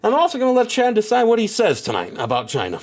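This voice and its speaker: A man's voice: sits high, wildly swooping pitch, fast talker. A man with a stuffed-up nose giving casual, rambling commentary on a wrestling game, full of filler phrases. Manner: rambling, heavy on "you know" and "at the end of the day".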